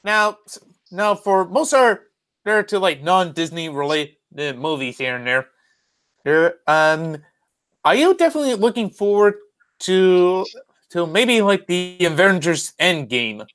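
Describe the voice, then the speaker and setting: A podcast host chatting casually in an unscripted interview, halting and hesitant, circling back on phrases. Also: mid-range voice, big pitch swings, a bit bright.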